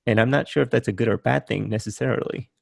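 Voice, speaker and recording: A man in his forties, born in Vietnam, hosting a casual interview over a video call, relaxed and thinking aloud. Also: mid-range voice, fast, gravelly, no echo, studio quality. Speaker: podcast host.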